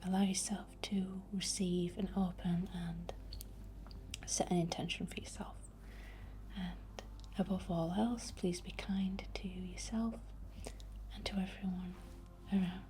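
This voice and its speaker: A young woman whispering breathily and gently as she guides an ASMR meditation in an informal, unhurried way. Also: lowish voice, flat delivery, slight background noise. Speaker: ASMR host guiding a healing session.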